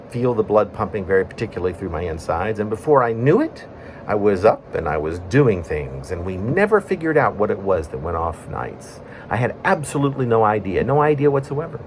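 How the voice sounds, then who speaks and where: A man with a fairly low voice reads a poem as if telling a story. His pitch moves in wide, lively swings across short phrases broken by pauses. Some background room noise sits under the recording. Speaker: poet reading own poem. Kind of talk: spoken-word poetry reading, conversational storytelling.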